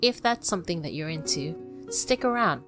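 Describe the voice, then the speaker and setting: A woman hosting her own podcast, talking about books and art in a chatty, enthusiastic way, with lively rises for emphasis. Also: fairly low pitch, moderate pace, over music. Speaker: podcast host. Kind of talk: casual podcast discussion.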